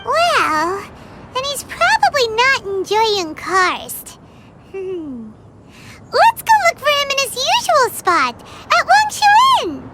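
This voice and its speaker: A tiny, squeaky childlike companion. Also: female voice, wildly swooping pitch, slow pace, heavy background noise, exaggerated voice. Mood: chirpy, thoughtful. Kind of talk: video game voice acting.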